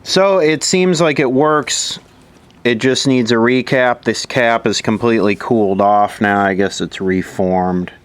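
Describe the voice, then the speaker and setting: A man's voice: mid-range voice, unhurried, clear, resonant tone. A man giving casual troubleshooting commentary as he repairs electronics, musing aloud and asking himself questions. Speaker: electronics repair hobbyist presenter.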